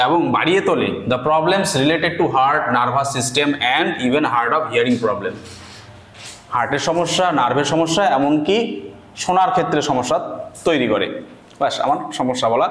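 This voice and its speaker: A man with a Bengali accent teaching English writing, dictating deliberately word by word and explaining as he goes. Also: on the high side, fairly bright tone. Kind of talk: slow dictation lesson with translation and explanation. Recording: echoey room with faint background noise.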